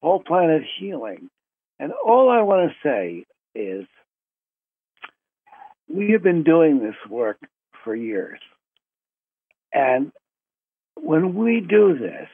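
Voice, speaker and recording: A man hosting a spiritual conference call by telephone, speaking informally and deliberately in short phrases with long pauses. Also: a little high, very animated, slight background noise. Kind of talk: informal spoken introduction to a live group call. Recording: muffled telephone conference line.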